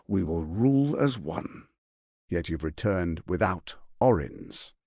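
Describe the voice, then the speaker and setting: Scheming fantasy game tyrant, pompous, menacing, mock-courteous, wide swooping intonation, gravelly edge, very dry close sound. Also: male voice, deep voice, animated, takes it slowly, mellow, dry recording, full character voice. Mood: coolly persuasive. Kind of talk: theatrical video game character acting.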